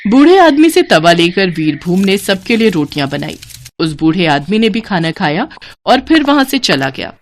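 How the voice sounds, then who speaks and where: A woman narrator reading a scripted bedtime tale in an expressive, dramatic storytelling style. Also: medium pitch, a little hiss or hum.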